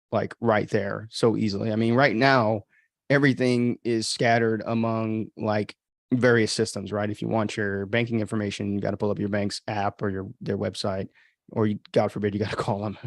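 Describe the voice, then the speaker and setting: A man's voice: fairly low pitch, no room sound. Casual man commenting, very dry close sound. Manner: informal, rambling, mild swearing, frequent "like" and "right?". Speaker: podcast host and author commenting on his own story.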